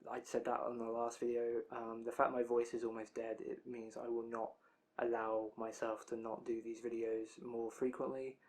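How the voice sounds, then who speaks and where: A young man rambles through an anime news roundup, his voice tired and worn out from talking so long. He keeps it loose and chatty, drifting into side remarks at an ordinary, mid-range pitch. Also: monotone, moderate pace, a little hiss or hum, rough recording. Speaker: anime release news vlogger.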